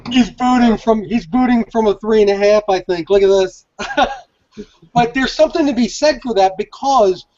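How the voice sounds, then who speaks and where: A man's voice: high voice, normal speed, a little mellow. A man chatting casually on a panel video call, effusive and given to folksy exclamations. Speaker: collector panelist. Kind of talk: casual chat. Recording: dull lo-fi video-call audio.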